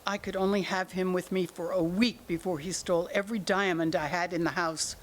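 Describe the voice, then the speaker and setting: A woman's voice: on the low side, fairly even intonation, fairly quick, natural voice. A woman playing an evasive, argumentative older mother in denial about her son, in a staged drama reading. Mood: insistent. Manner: deflecting, argumentative, talks in analogies. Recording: noisy room ambience.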